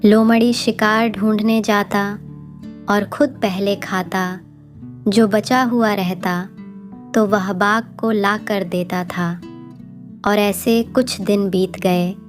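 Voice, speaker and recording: A woman's voice: medium pitch, steady tone, takes it slowly. A woman narrating a scripted children's story as the storyteller. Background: plucked string music.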